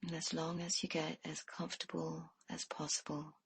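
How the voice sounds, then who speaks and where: A woman leading a guided meditation in a soft, hushed way, leaving pauses between phrases. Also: low voice, flat delivery, creaky, a little hiss or hum.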